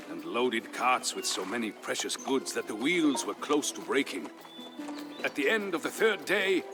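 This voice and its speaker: An actor playing a solemn Visigothic warrior chieftain, telling his people's history as measured, theatrical narration for a strategy game. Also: mid-range voice, close to an everyday voice. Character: grave Visigothic warrior chieftain, the dead king's brother-in-law, recounting history. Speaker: story narrator. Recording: narration over prominent music.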